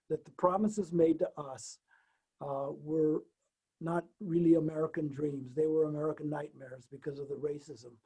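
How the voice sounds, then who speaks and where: A man's voice: a little high, warm tone, breathy edge, rough recording. A man speaking earnestly as a panelist on a video call.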